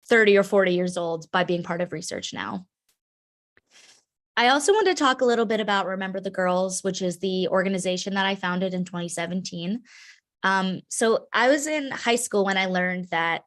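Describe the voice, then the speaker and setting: A woman's voice: medium pitch, fairly bright tone. A young woman speaking earnestly in a webinar, conversational with frequent ums. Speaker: carrier advocate, organization founder. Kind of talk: webinar talk.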